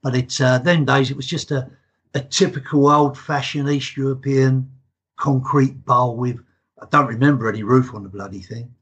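A man's voice: mid-range voice, steady tone, moderate pace, fairly bright tone, slightly rough. An older English man in his sixties, a retired podcast guest telling his stories in a chatty, matter-of-fact way. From England. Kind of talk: casual interview chat.

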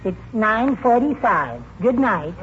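An actor playing a timid, polite, henpecked little husband in a 1940s radio comedy, meek, prim and hesitant. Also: male voice, high-pitched, big pitch swings, takes it slowly, heavily acted. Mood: meek, earnest. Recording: noisy muffled 1940s radio broadcast.

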